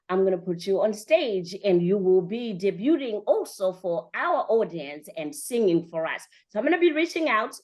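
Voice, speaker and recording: A woman's voice: medium pitch, darkish tone. A woman hosting a motivational interview, speaking in an emphatic, encouraging way and piling up repeated phrases. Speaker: podcast host and coach.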